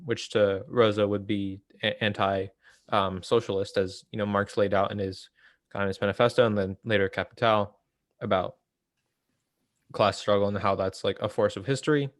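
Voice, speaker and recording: Low-voiced man, halting and explanatory. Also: fairly bright tone, no room sound, clean studio sound. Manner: halting, thinking aloud, explanatory. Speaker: host of a political book read-along. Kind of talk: casual book discussion.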